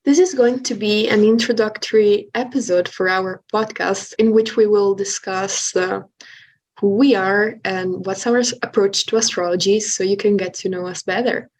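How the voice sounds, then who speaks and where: A woman's voice: medium pitch, steady tone, moderate pace, a little mellow, rough recording. Swiss-woman co-hosting a podcast. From Italian-speaking Switzerland. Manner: earnest, explanatory, with some hesitations. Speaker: podcast co-host. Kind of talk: casual podcast chat.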